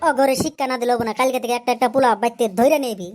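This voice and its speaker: A man puts on a high-pitched, bossy village mother for a comic cartoon. She blusters and boasts in a rural Bangladeshi accent, with music playing underneath. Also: fairly even intonation, rapid-fire. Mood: boastful, bossy.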